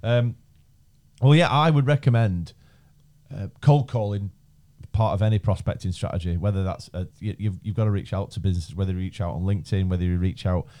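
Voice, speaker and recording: A man's voice: low-pitched, a little fast, dark tone. A man, hosting a podcast in a studio in a joking, rambling way that repeats phrases. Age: 30s. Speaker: podcast host. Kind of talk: casual chat.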